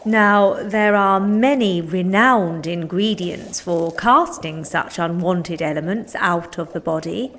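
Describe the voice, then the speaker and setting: A woman's voice: on the low side, slow pace, few pauses, fairly bright tone. A woman playing an eccentric, earnest, rambling one-eyed witch-alchemist in a comic audio drama. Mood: earnest, mystical.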